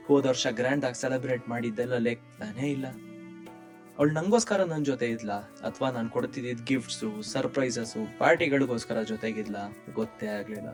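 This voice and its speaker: A man's voice: medium pitch, fairly quick, soft background music, lightly acted. A man voicing a kind-hearted, jobless young man in a cartoon story, earnest and reflective. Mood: hurt, dejected. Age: young adult. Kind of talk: dramatic monologue and dialogue in cartoon story.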